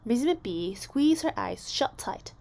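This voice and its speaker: A woman narrating a children's story aloud, expressively, with deliberate phrasing and dramatic emphasis. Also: a little high, lively intonation, gravelly, darkish tone. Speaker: storybook reader.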